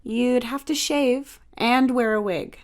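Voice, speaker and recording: Woman doing a bossy teasing big sister for an audiobook, high and breezy, coaxing but caring. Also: takes it slowly. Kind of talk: audiobook character dialogue.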